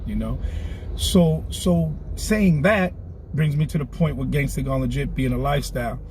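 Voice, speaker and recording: A man's voice: fairly high pitch, normal speed, a little mellow, a little hiss or hum, poor audio. A man in his forties talking in a candid interview in a car, earnest and streetwise, often repeating himself. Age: 40s. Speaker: clothing brand founder, guest. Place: inside a car.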